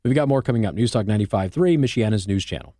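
An American man hosting talk radio, speaking in a conversational, emphatic monologue with rhetorical questions and pauses for effect. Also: on the low side, animated, background noise. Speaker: radio talk show host. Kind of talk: conservative talk radio monologue with host-read ads. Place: radio studio. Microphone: close broadcast microphone.

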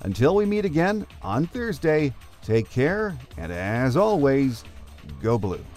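A man's voice: mid-range voice, big pitch swings, slow pace, darkish tone, soft background music. A middle-aged or older man hosting a sports podcast, moving between talk and scripted bulletins. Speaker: podcast host.

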